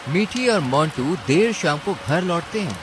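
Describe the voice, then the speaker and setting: Male narrator for cartoon tales: fairly high voice, very varied intonation, scripted storytelling. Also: animated, unhurried, background noise.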